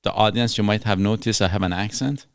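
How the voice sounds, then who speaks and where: A man's voice: lowish voice, fast, dry recording, studio quality. A man from Iran with a Persian accent, hosting a casual chat and asking playful, probing questions. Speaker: host. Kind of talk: casual conversation.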